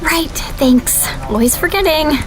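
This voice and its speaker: A woman's voice: sits high, animated, measured pace, poor audio. Woman, anxious, self-critical, audio drama, music behind. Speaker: surveillance analyst.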